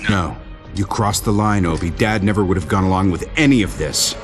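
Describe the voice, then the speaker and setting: An actor playing an intense, headstrong inventor hero in a video game, speaking with confidence and resolve. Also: male voice, sits low, a little fast, music in the background, natural voice. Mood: angry, resolute. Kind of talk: dramatic video game acting.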